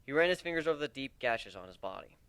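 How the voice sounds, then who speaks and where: A man giving an expressive, conversational first-person dramatic reading of a story. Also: mid-range voice, fast, smooth, no echo. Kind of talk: first-person scripted story narration with character voices.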